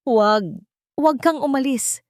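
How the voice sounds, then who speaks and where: A woman's voice: high voice, normal speed, darkish tone, no echo, clean studio sound, pitched above the performer's own voice, close to an everyday voice. A woman voicing a guilt-ridden male mafia boss in an anguished, pleading manner for an audio love drama.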